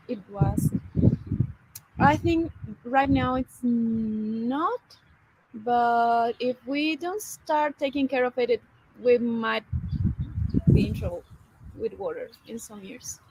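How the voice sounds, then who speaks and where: A woman from Mexico, a native Spanish speaker, with a Mexican accent, speaking softly and haltingly as co-host of a casual live Q&A. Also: on the high side, slight background noise, poor audio. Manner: soft-spoken, speaks haltingly, giggles. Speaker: co-host of a travel channel live stream.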